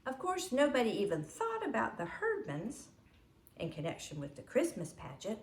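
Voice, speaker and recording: A woman reading a children's story aloud in an animated, expressive narrating style. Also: a little high, normal speed, roomy sound, a little hiss or hum. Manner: animated, acts out dialogue. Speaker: storybook reader.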